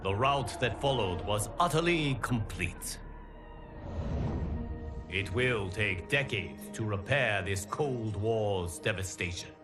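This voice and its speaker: An actor playing a solemn guardian of timelines, narrating a video game story in a formal, measured, dramatic manner. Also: male voice, fairly low pitch, lively intonation. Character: solemn male guardian of timelines addressing his champions. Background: music.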